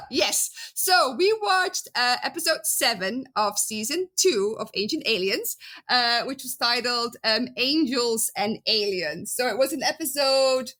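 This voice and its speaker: Bright-voiced Belgian woman with a Dutch accent. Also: on the high side, animated, a little slow. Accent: Flemish Dutch. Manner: chatty, good-humoured, frequent "um"s. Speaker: biblical archaeologist guest. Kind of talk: casual interview.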